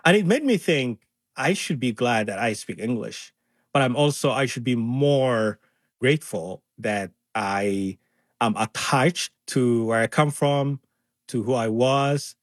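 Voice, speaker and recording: A man's voice: medium pitch, measured pace, no room sound, clean studio sound. A man from Somalia, an interview guest talking earnestly and acting out others' words with animation. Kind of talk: conversational interview.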